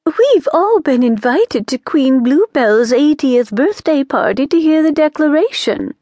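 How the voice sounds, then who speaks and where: A woman doing a smug, shrill witch. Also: expressive, a little slow, no room sound. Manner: smug, high and bright. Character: smug, excitable female witch.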